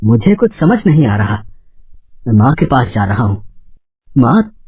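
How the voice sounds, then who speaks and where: A man's voice: mid-range voice, sing-song, unhurried, slightly rough, dry recording, exaggerated voice. A man playing an earnest, deferential adult son in a story, on a slightly dull, dry recording. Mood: bewildered.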